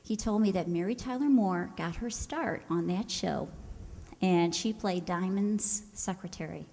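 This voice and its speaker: A woman introduces a stage show as its host. Her voice sits a little low, and she speaks in a measured, conversational public style. The sound carries the long reverb of a hall. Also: gravelly, dark tone. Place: live performance hall before an audience.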